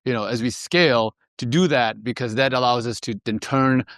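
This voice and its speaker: Guy doing the numbers on an earnings call, businesslike, medium pitch, dry sound. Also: moderate pace, fairly bright tone, no echo. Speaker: company finance executive. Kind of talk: earnings call presentation, financial report.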